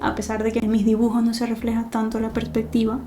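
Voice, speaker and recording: Relaxed woman, mellow and flat. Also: fairly high pitch, fast, a little hiss or hum. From Venezuela. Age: 30s. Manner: soft, relaxed, thinking aloud with fillers and restarts. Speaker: artist vlogger. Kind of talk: casual vlog talk to camera. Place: living room at home, cats playing nearby.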